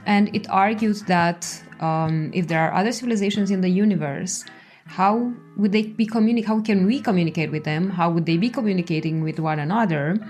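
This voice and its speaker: Lowish-voiced Romanian-accented woman explaining. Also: a little mellow. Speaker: interview guest, PhD researcher. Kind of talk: explanatory interview talk.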